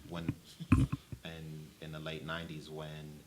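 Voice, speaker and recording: A man who teaches reading and writing, talking on a panel in a thoughtful, measured way. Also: rumbling low, monotone, very noisy. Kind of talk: panel discussion.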